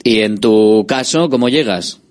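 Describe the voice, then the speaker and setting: A man's voice: on the low side, expressive, unhurried, a little mellow. A man hosting a radio sports show, interviewing guests in a friendly, chatty way.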